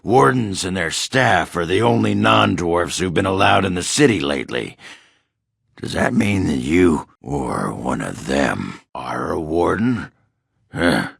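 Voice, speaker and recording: Gruff drunk dwarf fighter from a video game, super deep n raspy, blunt and boastful. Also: male voice, expressive, a little slow, a bit bright, no room sound, studio quality, heavily acted. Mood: wary, probing, then mocking, boastful. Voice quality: gravelly, raspy. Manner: blunt, boastful, swearing, sardonic. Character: gruff, crude, hard-drinking middle-aged male dwarf warrior. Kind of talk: video game character dialogue.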